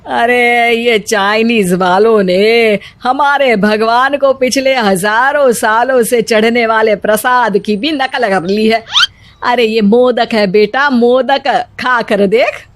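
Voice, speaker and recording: A woman's voice: fairly high pitch, steady tone, takes it slowly. A woman voicing an affectionate, proud and opinionated Indian mother-in-law in a cartoon story, exclamatory and instructive. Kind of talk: Hindi animated story acting.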